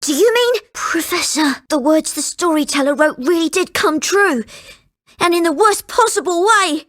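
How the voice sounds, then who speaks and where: A woman voicing a polite, earnest and excitable young boy in a video game. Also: extremely high pitch. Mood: alarmed.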